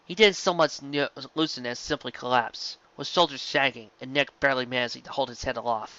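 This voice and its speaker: A man's voice: medium pitch, brisk, bright, forceful tone, muffled recording. A man narrating a scripted piece of fan fiction as an amateur reader, now and then stumbling over words and rereading them.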